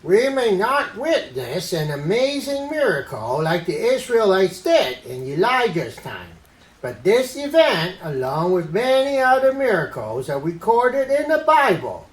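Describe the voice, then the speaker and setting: A performer voicing Uncle Ed, a silly, earnest orangutan puppet who teaches Bible stories to children in a deliberate way. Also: mid-range voice, big pitch swings, echoey room. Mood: earnest, teaching. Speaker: host of children's Bible story show. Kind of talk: children's puppet show presenting.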